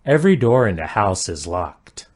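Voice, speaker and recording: A man reading simple English practice sentences aloud, each one twice, in a careful, even delivery. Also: on the low side, normal speed, dry recording, studio quality. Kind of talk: slow repeated sentence reading for learners.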